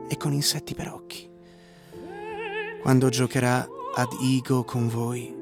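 A man's voice: mid-range voice, lightly acted. An actor playing a wistful male narrator recalling a lost lover in a lyrical, dramatic radio monologue. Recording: music behind the voice, radio drama. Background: clear music.

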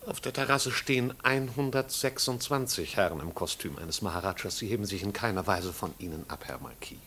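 A man's voice: lowish voice, brisk, sharp tone, slight background noise. An actor playing a dry, deferential manservant who reports precisely and formally to his master, in 1950s German radio drama style. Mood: dry, dutiful.

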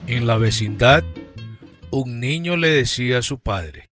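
A man's voice: medium pitch, expressive, a little slow, loud music behind the voice. A man with an Ecuadorian accent narrating a radio drama from a script.

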